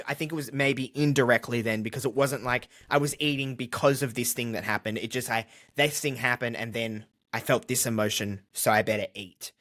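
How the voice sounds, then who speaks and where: A man's voice: mid-range voice, bright, forceful tone. A man with an Australian accent talking candidly and casually as a podcast guest, with a jokey, self-deprecating streak. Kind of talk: casual conversational interview.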